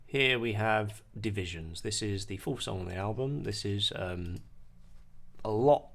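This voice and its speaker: A man presenting an informal music software demonstration, explaining in a hesitant, halting way. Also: on the low side, creaky. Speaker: music producer, tutorial presenter.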